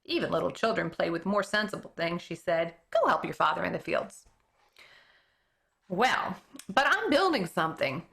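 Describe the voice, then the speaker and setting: Woman teacher, storybook read-aloud, bright tone, animated pitch, fixes her slips as she goes. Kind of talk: reading a book chapter aloud.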